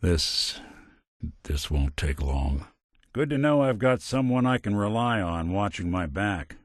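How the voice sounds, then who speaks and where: An actor playing a world-weary, loyal robot private detective in a video game, with wry, understated, old-fashioned hard-boiled noir phrasing. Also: male voice, fairly low pitch, expressive, measured pace, dry recording, studio quality. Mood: sincere. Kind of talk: video game character voice lines, film noir detective acting.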